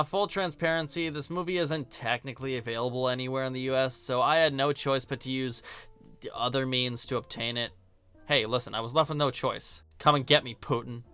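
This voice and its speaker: A man's voice: medium pitch, a little fast, pushed and bright, smooth, faint music behind. A man giving scripted video-essay commentary in a sarcastic, informal, joking manner. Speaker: video reviewer and host.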